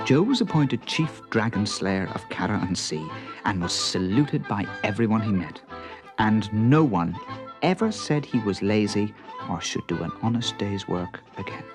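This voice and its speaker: A man's voice: on the low side, big pitch swings, unhurried, music in the background. A man narrating a children's story in a dramatic, suspenseful way.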